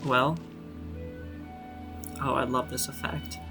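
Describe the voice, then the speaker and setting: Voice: medium pitch, flat delivery, slow, gravelly, over music. A game streamer giving casual, offhand commentary during a playthrough.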